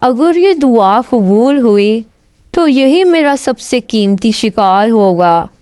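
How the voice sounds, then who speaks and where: A woman's voice: fairly high pitch, animated, takes it slowly, dark tone, dry recording, studio quality. A woman voicing a proud, commanding king out hunting in a moral storybook tale. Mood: softened. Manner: commanding, lordly. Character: proud hunting king, male, stubborn but softening.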